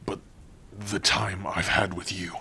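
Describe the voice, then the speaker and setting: Man playing a deep, slow, tender orc lover. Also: steady tone, gravelly, breathy edge, full character voice. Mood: nervous, tender. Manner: hesitant, halting, soft-spoken, intimate. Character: big tender, bashful male orc in love. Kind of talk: ASMR romantic fantasy roleplay.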